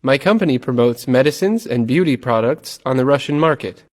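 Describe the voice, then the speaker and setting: A man's voice: mid-range voice, lively intonation, fairly quick, big-room sound. Man reading practice dialogue plainly. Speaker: dialogue reader in English lessons.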